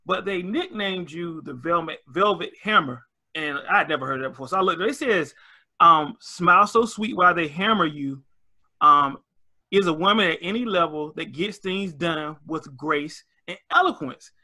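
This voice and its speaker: A man hosting an interview, talking in an animated and enthusiastic way. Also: fairly high pitch, normal speed. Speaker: interview host. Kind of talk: conversational interview.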